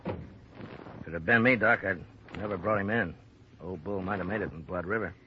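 A man's voice: low voice, moderate pace, lightly acted. An actor playing a plain-spoken small-town Western sheriff in a 1950s radio drama, blunt and folksy in his country way of talking. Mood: somber, resigned. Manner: folksy, blunt, drawn-to-the-point country speech. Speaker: actor in supporting part. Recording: muffled, noisy old radio broadcast.

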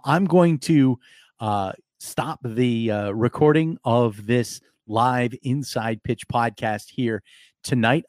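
Hoarse guy rambling on his sports podcast, dry close mic. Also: mid-range voice, darkish tone, no echo. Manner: rambling, many restarts and fillers. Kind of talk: casual live podcast talk.

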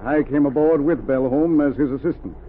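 Actor as a decisive special agent, tinny old radio. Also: male voice, medium pitch, a little fast, lightly acted. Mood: calm, decisive. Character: decisive male undercover special agent, cool and urgent. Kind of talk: 1930s radio acting. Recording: tinny, noisy 1930s radio recording.

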